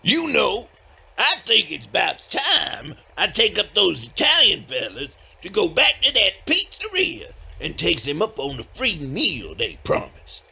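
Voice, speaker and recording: A man playing a bossy, dim-witted Southern backwoods older brother in an audio comedy, with a folksy drawl and a raspy, strained voice. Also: sits high, huge pitch swings, measured pace, heavily acted. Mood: eager, boastful. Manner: folksy, loud, scolding, ungrammatical drawl. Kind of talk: audio comedy-horror acting.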